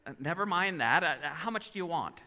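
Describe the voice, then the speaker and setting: A man acts out an earnest young small-town loan man in a vintage radio drama. His voice is very bright and high, stammering and pleading in a folksy way. It carries through a reverberant hall. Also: lively intonation, a little fast. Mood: relieved, brisk. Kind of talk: 1940s radio acting on stage. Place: theatre stage. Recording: echoey hall, live stage taping.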